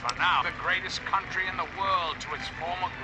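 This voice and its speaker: An actor playing a folksy, grandiose president giving a theatrical, paternal propaganda address on the radio in a video game. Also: male voice, high-pitched, steady tone, a little fast, close to an everyday voice, poor audio. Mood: stern, preachy. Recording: radio broadcast under game sound.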